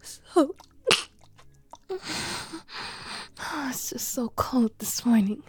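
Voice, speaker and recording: Breathy, whispering woman, soft and pleading. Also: high voice, expressive, extremely slow. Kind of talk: intimate whispered audio roleplay.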